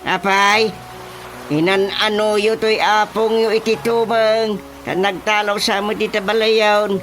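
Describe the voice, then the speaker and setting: A man's voice: high voice, level tone, measured pace, poor audio. A man playing a jumpy, grumbling father in a radio drama comedy. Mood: puzzled. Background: loud music.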